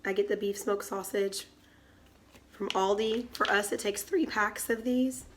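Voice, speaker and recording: Hoarse woman hosting a home cooking vlog. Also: medium pitch, steady tone, unhurried, noisy background. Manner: chatty, explains step by step. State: hoarse from a cold. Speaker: channel host. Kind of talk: casual cooking demonstration. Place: home kitchen.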